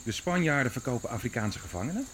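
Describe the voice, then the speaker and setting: Man playing brusque, imperious prince, animated delivery. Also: mid-range voice, fast talker, a little hiss or hum, close to an everyday voice. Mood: grave, concerned. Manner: commanding, brusque, challenging. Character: imperious, suspicious Dutch prince and army commander. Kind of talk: radio play acting.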